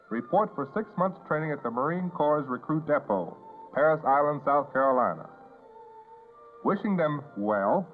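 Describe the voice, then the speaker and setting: An American man narrating a 1950s newsreel in a polished, scripted announcer style, on an old film soundtrack. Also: medium pitch. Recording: tinny band-limited 1950s newsreel soundtrack. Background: newsreel music.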